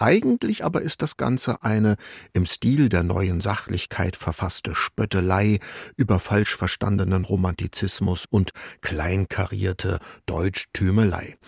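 A man's voice: deep voice, vocal fry, darkish tone, slightly rough, no echo, studio quality. A man presenting a radio music programme in a scripted, narrating style. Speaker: radio music show host.

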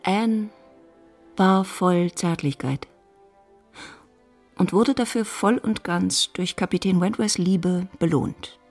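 A woman's voice: fairly low pitch, slow, long pauses, darkish tone, soft background music. A woman narrating a literary radio drama in a scripted storytelling style.

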